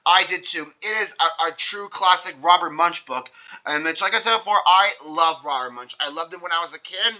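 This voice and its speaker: A man's voice: a little high, moderate pace, bright, forceful tone, dull audio. A young man, hosting a read-along video in an enthusiastic, repetitive way. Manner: enthusiastic, repeats himself. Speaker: video host reading aloud.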